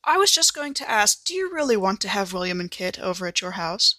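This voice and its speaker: A woman with a bright, clear-edged voice of middle pitch takes part in a tabletop game. She improvises casually and thoughtfully, recorded close and dry. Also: very animated, a little fast, no room sound. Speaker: player in a tabletop game podcast.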